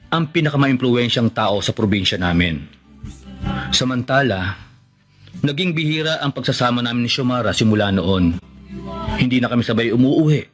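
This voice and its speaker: A man's voice: mid-range voice, natural voice. A man acting a lovelorn, hesitant man in a Filipino radio drama, telling of his secret love. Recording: music under the voice.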